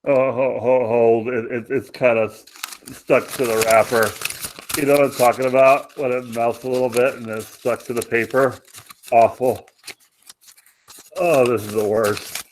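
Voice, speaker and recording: Hapless male soldier played by an actor: very slow, hesitant, deadpan complainer in a radio comedy. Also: medium pitch, a little hiss or hum, lightly acted, rough recording. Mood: whiny, distracted. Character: deadpan, hapless soldier preoccupied with minor discomforts. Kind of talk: comic radio play acting.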